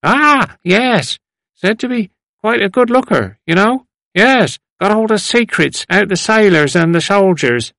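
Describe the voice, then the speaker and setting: Old village fella in an audiobook, high animated voice, rambles on, repeats himself, loves reminiscing. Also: male voice, a little slow, vocal fry, no echo, heavily acted. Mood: confiding. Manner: rustic, rambling, repetitive, stumbling over words.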